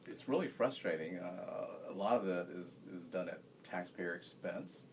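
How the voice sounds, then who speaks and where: A man's voice: sits low, moderate pace, darkish tone. A man, a physician, speaking hesitantly with many fillers in an interview, sounding frustrated. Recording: noisy room ambience.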